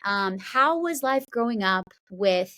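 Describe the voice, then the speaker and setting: Casting: female podcast host, dry close recording, middle pitch, supportive and conversational, loosely phrased questions. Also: expressive, takes it slowly, sharp tone, dry recording. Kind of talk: casual conversational interviewing.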